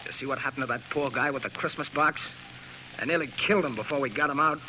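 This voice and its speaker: An actor playing an eager, deferential police aide, in 1930s radio drama style, heard through an old broadcast. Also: male voice, medium pitch, fast talker, background noise, lightly acted.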